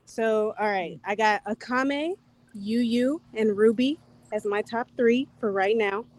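Soft-spoken woman, high voice. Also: unhurried. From Houston, Texas. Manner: blunt, unbothered, self-assured. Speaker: guest caller. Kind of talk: casual audio chat room banter.

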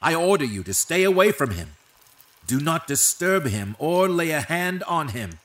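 Impassioned young warrior, high and swooping. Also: male voice, sing-song, moderate pace, a bit bright, dry recording. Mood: stern command. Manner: earnest, emphatic, archaic formal phrasing. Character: impassioned young warrior David, pleading, loyal, anguished. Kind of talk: dramatized Bible story acting.

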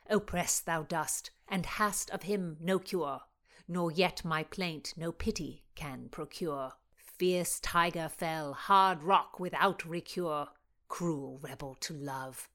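Woman reading a proud young earl, teasing and grand, lower voice, storybook reading. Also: slow, a bit bright. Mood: passionate. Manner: declaiming verse with force.

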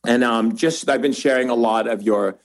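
A man's voice: medium pitch, moderate pace, vocal fry. A man hosting a podcast, talking in an effusive, emphatic way as he introduces and interviews a guest. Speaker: podcast host.

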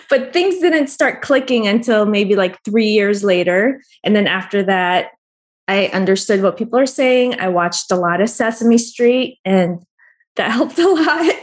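A woman from the Philippines, a guest artist answering interview questions in a friendly way, often laughing softly mid-sentence. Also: a little high. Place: Albany region, New York.

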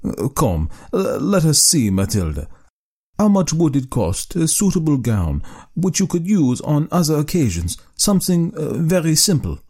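A man's voice: a little high, big pitch swings, normal speed, a little mellow, husky, voice pushed up, heavily acted. A man voicing a meek, eager-to-please clerk husband who coaxes and placates his wife. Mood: fumbling. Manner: coaxing, placating.

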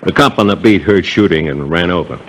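Casting: gruff, loyal American police sergeant, deep voice, blunt slangy 1950s radio delivery. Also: male voice, fairly quick, a bit bright, muffled recording. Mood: brisk, dutiful. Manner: blunt, streetwise.

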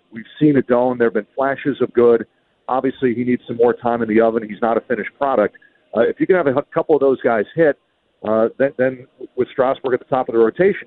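A man's medium-pitched voice comes through a narrow, tinny connection. He gives sports analysis as a radio guest, often doubling back on his words as he builds a point. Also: dry recording. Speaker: baseball radio play-by-play announcer, guest. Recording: muffled narrow-band radio call-in line.

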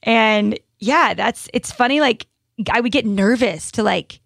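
A woman's voice: fairly high pitch, gravelly, no echo. Woman, thirties, podcast host, casual and chatty, dry close mic. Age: 30s. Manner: chatty, laughing, quick slangy asides. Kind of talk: casual chat.

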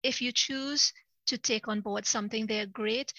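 A woman's voice: on the high side, fairly even intonation, measured pace, bright, forceful tone, no echo, highs cut off. A middle-aged woman from Trinidad, a guest coach in a casual interview, talking earnestly with easy laughs. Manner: earnest, repeats words, laughs easily.

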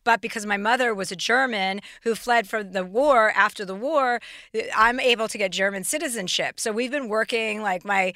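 A bright, forceful woman's voice hosting a casual chat, brash and joking as she tells stories. The sound is close and dry, with no room behind it. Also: mid-range voice, no room sound. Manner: brash, joking, quick storytelling. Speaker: podcast host.

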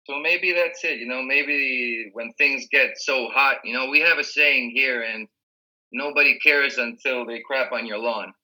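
A man whose first language is Spanish, a guest chatting casually over a call from home, relaxed and restarting his words. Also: mid-range voice, unhurried, low-quality recording. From Rio de la Plata region. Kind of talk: casual remote interview chat. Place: home in Spain.

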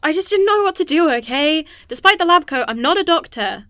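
A woman's voice: high voice, expressive, brisk, pushed and bright, no echo, clean studio sound. An actress playing a wry, outspoken woman aboard a train in a sci-fi audio drama, speaking casually. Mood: flustered, breathless.

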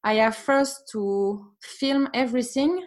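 A woman's voice: a little high, slow. A woman with a French accent, a painting teacher who speaks earnestly and sometimes searches for words. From France. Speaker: art teacher, guest. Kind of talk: casual conversation.

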